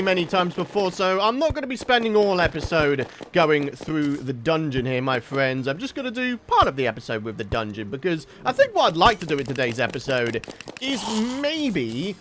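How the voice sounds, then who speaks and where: A young man narrates his game in a bright, chatty way, his voice sitting high and swinging widely in pitch. His nose sounds a little blocked from hay fever. A faint hiss runs under the desk-mic recording. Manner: upbeat, chatty, exclamatory. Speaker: gaming YouTuber. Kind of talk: let's play commentary.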